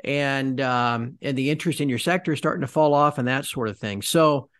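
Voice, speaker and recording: A man's voice: mid-range voice, brisk, a bit bright, clean tone. A man hosting a podcast, asking long, rambling conversational questions. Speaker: podcast host. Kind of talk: podcast interview.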